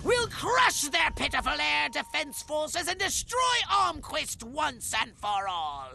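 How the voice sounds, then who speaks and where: Gravelly, swooping alien commander. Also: male voice, very high-pitched, big pitch swings, slow, pushed and bright, heavily acted. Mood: scornful, vengeful. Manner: pompous, verbose, grandiose. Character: scheming alien commander, pompous and vengeful. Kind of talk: video game cutscene acting.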